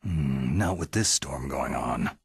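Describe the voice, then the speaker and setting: Very husky, low-voiced gruff soldier. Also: male voice, lively intonation, takes it slowly, no echo, exaggerated voice. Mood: terse, businesslike. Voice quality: very husky, gravelly rasp. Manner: terse, skeptical, dry. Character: gruff, defiant retired special-forces soldier on a covert mission. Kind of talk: dramatic video game cutscene acting.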